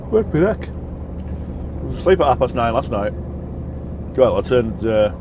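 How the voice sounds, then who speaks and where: A man's voice: mid-range voice, highs cut off. A tired man with a Yorkshire accent, chatting casually and rambling with lots of ums as he drives his truck. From Yorkshire, England. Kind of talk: casual chat while driving. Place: truck cab on the road. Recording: engine and road noise in a truck cab.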